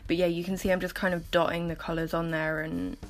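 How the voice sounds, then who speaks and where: A woman with a croaky just-woke-up voice, giving a chatty, informal voiceover as an artist explaining her process. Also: fairly low pitch, little pitch movement, quick pace. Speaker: artist making painting process videos. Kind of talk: casual voiceover commentary. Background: music.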